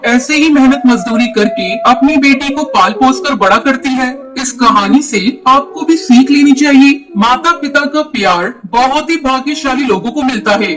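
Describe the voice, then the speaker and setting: A man's voice: very high voice, moderate pace, few pauses, far from the mic, rough recording. A man narrating a scripted moral story for children.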